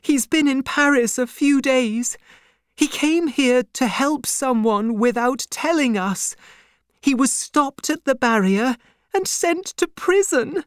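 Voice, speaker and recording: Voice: high-pitched, animated, slow, clean tone, no room sound, clean studio sound, pitched above the performer's own voice. A narrator playing a distraught young wife with a pleading, dramatic manner. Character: distraught young wife, desperate for her husband.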